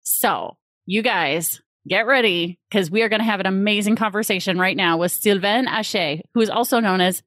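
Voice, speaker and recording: A woman's voice: mid-range voice, normal speed, few pauses, a bit bright, no echo, clean studio sound. A woman hosting a podcast interview in an upbeat way, laughing easily. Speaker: podcast host.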